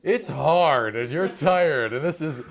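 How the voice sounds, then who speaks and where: A man's voice: on the high side, expressive, measured pace, crowd noise. A man acting as game master, improvising fantasy narration mixed with casual chat, often stopping to rephrase as he describes the scene.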